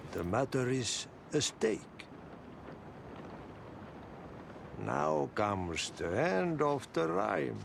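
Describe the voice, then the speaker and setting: An actor playing an old janitor in a video game, talking in serene, folksy riddles and proverbs. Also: male voice, fairly low pitch, creaky, noisy background, heavily acted. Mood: wry, ominous. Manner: slow, riddling, folksy, unhurried. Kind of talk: cryptic game dialogue in proverbs. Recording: loud music behind the voice. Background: loud music.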